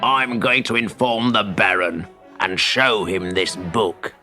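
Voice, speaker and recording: A man voicing a menacing toad captain in a children's audiobook, gruff and bossy with a croaking, gravelly voice. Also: lowish voice, takes it slowly, sharp tone, soft background music, full character voice. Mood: threatening, commanding. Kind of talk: audiobook character voice.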